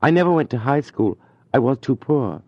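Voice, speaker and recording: A man's voice: mid-range voice, expressive, normal speed, a little mellow, no echo, highs cut off, voice pushed up, natural voice. A man voicing a poor, shy young tutor who speaks earnestly and falters at times.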